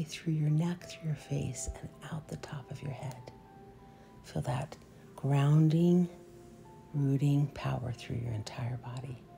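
A low-pitched woman with heavy vocal fry guides a meditation. She is soft and soothing, trailing into breath as phrases end, and takes her time with gentle, unhurried delivery. Meditation music plays behind her.

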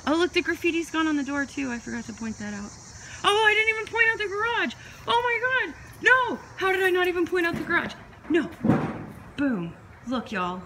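A young woman, chatting excitedly as she films a walkthrough of a house she is renovating, speaking into her phone. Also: very high-pitched, a little fast, background noise. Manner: chatty, excitable, jumps between topics. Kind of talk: casual walkthrough vlog. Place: empty house and yard, indoors and outdoors. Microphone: phone held while filming.

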